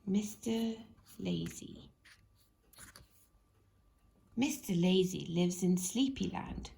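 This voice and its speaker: A woman reading a children's bedtime story expressively, in a gentle, drawn-out way that drops into hushed tones. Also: on the low side, creaky. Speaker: storybook reader.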